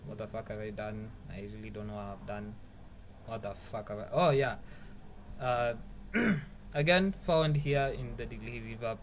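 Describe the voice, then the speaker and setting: A man's voice: lowish voice, normal speed. A young man with a Kenyan accent, a music producer giving a casual, rambling beat-making tutorial, joking and swearing, dropping Swahili phrases. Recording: faint background noise.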